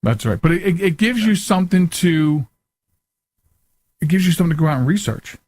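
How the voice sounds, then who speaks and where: A man hosting a casual live call-in radio show, talking haltingly and often repeating his words. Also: fairly high pitch, expressive, a little mellow.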